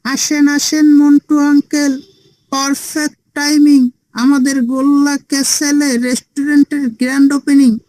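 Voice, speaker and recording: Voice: sits high, flat delivery, a little slow, darkish tone, no room sound, poor audio. A performer voicing a cheeky, scheming little boy in a comedy cartoon, talking like a cocky salesman. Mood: showy, salesmanlike.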